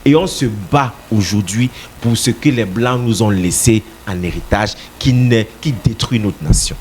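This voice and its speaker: A man's voice: fairly low pitch, unhurried, darkish tone, a little hiss or hum. A man from Cameroon, a political party representative answering radio interview questions in an emphatic, insistent way, sometimes repeating words as he searches for them.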